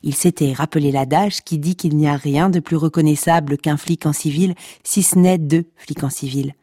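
An actor playing a mock-dramatic, deadpan narrator of a comic podcast fiction, speaking conspiratorially. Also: male voice, on the high side, moderate pace, no room sound, studio quality. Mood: mock solemn. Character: mock-solemn tongue-in-cheek noir narrator.

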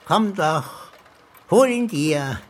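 Slow, swooping old professor. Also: male voice, fairly high pitch, expressive, exaggerated voice. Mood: agitated, gloating. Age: older. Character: forgetful old male emeritus professor, irascible and scheming. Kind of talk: radio crime drama acting.

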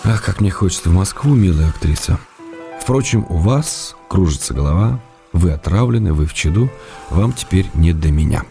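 An affectionate writer teasing his beloved in letters, his voice deep and mellow. He speaks unhurriedly, with gentle irony and warmth. Faint music plays behind him. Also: lively intonation, creaky. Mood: ironic, tender. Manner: tender, gently ironic, unhurried. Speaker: reader of letters in a radio play. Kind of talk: radio drama letter reading.

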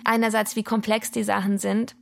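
A woman's voice: mid-range voice, little pitch movement, normal speed, vocal fry. A woman talking off the cuff in an emphatic, colloquial and indignant way. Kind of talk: spontaneous interview talk.

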